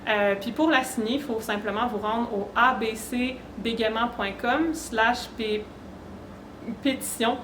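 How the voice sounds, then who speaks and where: A higher-pitched woman with a Québécois French accent speaks to an audience in a conversational way. The room rings around her and there is faint noise behind. It sounds like an informal panel presentation. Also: fairly even intonation, measured pace. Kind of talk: informal awareness talk to an audience. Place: echoey room at a university event. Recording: roomy echo, faint background noise.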